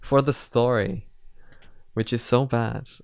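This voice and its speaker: A man's voice: medium pitch, steady tone, takes it slowly, gravelly, smooth, dry recording, clean studio sound. A man hosting a reaction video, giving casual commentary and thinking aloud in a hesitant, repetitive way.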